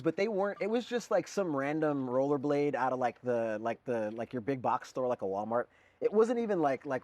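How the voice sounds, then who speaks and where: A man's voice: fairly high pitch, no echo. A man in his forties who grew up in Germany, a guest artist talking casually and rambling, with lots of fillers and "dude". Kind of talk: casual conversation.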